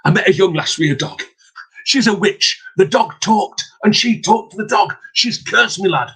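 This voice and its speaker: Man doing a panicky peddler guy, yelling up high, bit husky. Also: animated, normal speed, full character voice. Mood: desperate. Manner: shouting, panicked pleading. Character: cursed middle-aged male peddler, frantic.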